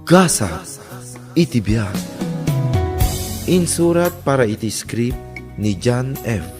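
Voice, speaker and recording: A man's voice: mid-range voice, very animated, takes it slowly. A man with an Ilocano accent reading a scripted outro as a drama announcer. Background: guitar music.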